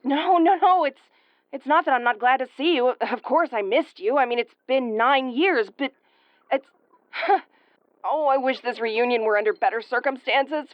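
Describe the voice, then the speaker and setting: A woman's voice: high-pitched, normal speed, dry recording, dull audio, close to an everyday voice. A woman playing a sardonic, sweary tomboyish young starship commander in an audio roleplay, stammering when rattled but secretly tender. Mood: stunned, rueful.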